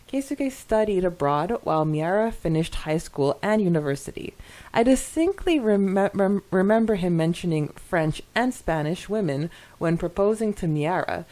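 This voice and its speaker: A woman with a slightly low voice co-hosts a podcast. She reads listener comments aloud and drops in casual, expressive asides as she goes, in a chatty conversational style. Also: poor audio. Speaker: podcast co-host.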